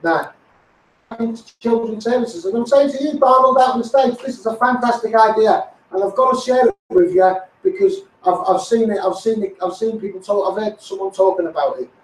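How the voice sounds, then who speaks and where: A man's voice: sits high, a little fast. A man with a Scouse accent making an insistent, repetitive campaign appeal to live viewers, directly addressing those in power. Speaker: campaigning video host. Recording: echoey, distant from the microphone.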